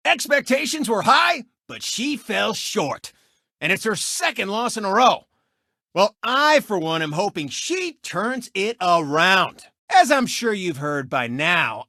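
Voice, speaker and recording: An actor voicing a cocky, boastful talking race car who hosts a sports show in a video game, with smug, showy, mocking commentary. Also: male voice, sits high, sharp tone, no room sound, studio quality, exaggerated voice. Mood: gloating, taunting. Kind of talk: animated sports commentary and taunting.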